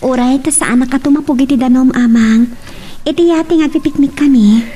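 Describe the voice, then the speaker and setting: A woman acting a humble young woman, hesitant and worried, speaking softly with an Ilocano accent in a radio romance drama. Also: high voice, brisk, husky. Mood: subdued. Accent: Ilocano, Philippines.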